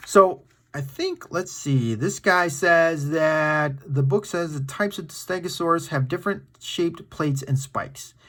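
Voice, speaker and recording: A man's voice: a little high, slow pace, hardly any pauses, fairly bright tone. A man hosting a children's story time, reading aloud in an enthusiastic, playful and dramatic way with casual asides to his young viewers.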